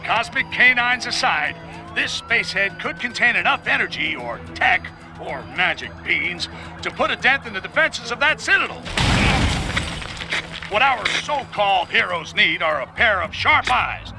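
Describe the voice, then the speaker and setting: High-pitched male game reporter, sarcastic broadcast delivery, music underneath. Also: sing-song, normal speed. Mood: snarky. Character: excitable male news reporter mocking heroes. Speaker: news reporter.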